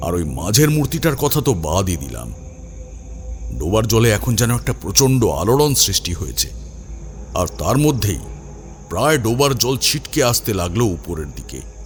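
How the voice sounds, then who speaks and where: A man's voice: on the low side, sing-song, normal speed, faint music behind. A man narrating a scripted first-person horror story in a dramatic, suspenseful manner.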